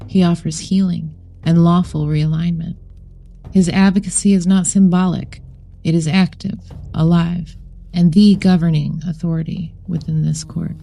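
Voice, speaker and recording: A woman reading a scripted guided meditation in a solemn, measured way, with deliberate phrasing. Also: fairly low pitch, vocal fry, warm tone. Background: background music.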